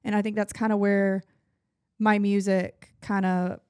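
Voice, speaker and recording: A woman's voice: mid-range voice, level tone, a little slow, a little mellow, clean tone, no room sound, studio quality. A woman with a Southern accent, a singer-songwriter guest chatting casually, with lots of "like" and "you know". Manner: chatty, lots of likes and backchannel. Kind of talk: casual chat.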